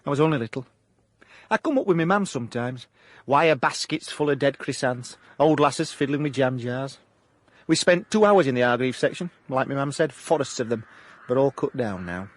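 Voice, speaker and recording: An actor playing a hapless, earnest South Yorkshire ex-miner turned private detective in a comic radio drama, blunt and dry. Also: male voice, medium pitch, lively intonation, normal speed, close to an everyday voice. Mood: wry, reflective. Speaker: private investigator.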